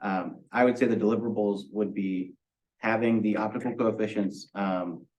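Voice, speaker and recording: A young man with a slightly low voice speaks as a student in a project review. He gives measured, technical explanations in answer to questions. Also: fairly even intonation, darkish tone, poor audio. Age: young adult. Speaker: university student presenting a project.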